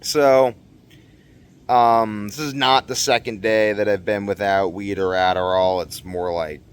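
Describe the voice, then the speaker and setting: A young man talking casually to camera in a rambling, slangy vlog monologue, animated and given to acting out what people said. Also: fairly low pitch, a little slow, clean tone. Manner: animated, exclamatory, slangy, acts out quotes. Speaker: vlogger.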